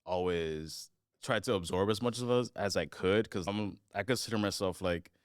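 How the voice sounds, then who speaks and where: A young man, chatting as a podcast guest, rambling with many fillers and trailing off quietly at the ends of thoughts. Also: low voice, moderate pace, clear, resonant tone, no room sound, studio quality. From United States. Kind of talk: casual podcast chat.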